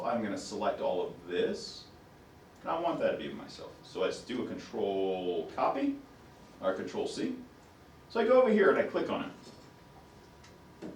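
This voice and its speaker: Raspy male professor, lively pitch, recorded off-mic in an echoey classroom. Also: far from the mic. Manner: talks to himself while fumbling with the computer. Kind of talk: classroom lecture.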